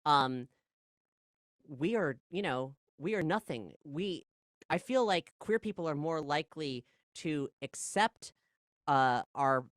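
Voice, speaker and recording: A podcast guest with a middle-pitched voice, recorded dry and close. The delivery is conversational and discursive, halting over phrases and then landing on key words with emphasis. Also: no echo. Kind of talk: casual conversational interview, philosophical musing.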